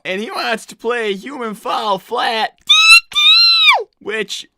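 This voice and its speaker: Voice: mid-range voice, takes it slowly, shouting, very noisy. A performer voicing a flustered young boy fox cartoon character, stammering and repeating words while hosting a game livestream. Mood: flustered, puzzled. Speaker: streamer hosting a live show.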